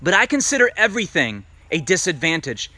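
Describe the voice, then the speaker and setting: A man preaching a sermon and reading scripture aloud. He talks emphatically, puts direct questions to his listeners, and builds to loud peaks. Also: sits high, sing-song, fairly quick, no room sound. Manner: asks the congregation direct questions. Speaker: messianic congregation teacher.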